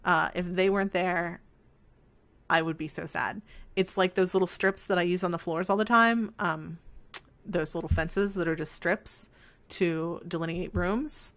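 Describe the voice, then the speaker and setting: A woman's voice: on the low side, steady tone, a little slow, fairly bright tone. A woman with a scratchy voice, chatting casually while playing a life sim game and rambling in a self-deprecating way. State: scratchy voice from talking. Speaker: let's play host. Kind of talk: casual chat over gameplay.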